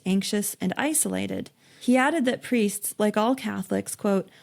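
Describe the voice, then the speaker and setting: A woman presents scripted commentary as a show host, with music playing underneath. Her pitch sits in an average female range. She speaks earnestly and with rhetorical emphasis, stressing her key phrases. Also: a little mellow. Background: background music.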